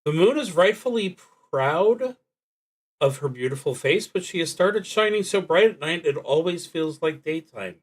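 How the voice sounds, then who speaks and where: A man streaming a solo roleplaying game, reading prompts aloud and thinking out loud in a deliberate, halting way that trails off into muttering. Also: fairly high pitch, animated, rough recording.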